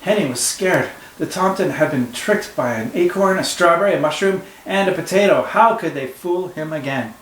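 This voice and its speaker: A man, a teacher, reading a picture book aloud to children with expressive storytelling and friendly asides. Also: a little high, moderate pace, hardly any pauses. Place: room at home. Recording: echoey room with faint ambience.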